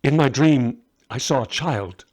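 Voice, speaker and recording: A man's voice: mid-range voice, measured pace, a little mellow, lightly acted. A humble, visionary magus from a storybook, played by a man reading aloud. He is earnest and hesitant, full of doubt about his own gift. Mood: uneasy.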